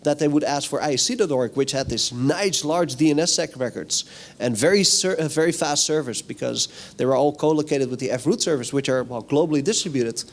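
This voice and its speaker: A man whose first language is Dutch, giving a casual, chatty technical talk at a conference and putting questions to the audience. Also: on the high side. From Netherlands. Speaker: conference speaker. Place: hotel conference room.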